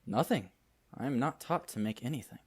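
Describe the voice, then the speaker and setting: Proud young nobleman, youngest brother, male actor, impassioned and defiant, classical radio drama, mid pitch. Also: animated, fairly quick, darkish tone, breathy edge. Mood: sardonic. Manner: declamatory, impassioned. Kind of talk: Shakespearean radio play acting.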